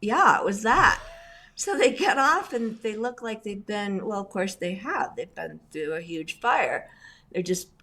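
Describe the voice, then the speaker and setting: A middle-aged woman, raised in Indonesia, telling chatty stories with lively asides as a guest in an interview. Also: medium pitch, unhurried. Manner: chatty, animated storyteller with asides. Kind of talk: casual storytelling interview.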